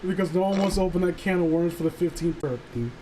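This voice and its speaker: A man chatting and reading aloud on a casual livestream, halting and sardonic, with some swearing. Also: high voice, dark tone, a little hiss or hum, rough recording. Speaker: streamer reading stories aloud. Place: voice call livestream.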